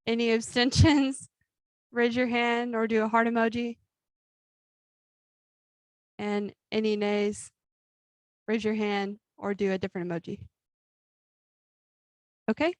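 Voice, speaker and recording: A young woman chairing a student government meeting, running through the agenda in a brisk, lightly amused way. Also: fairly high pitch, little pitch movement, no room sound. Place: meeting with a Zoom link.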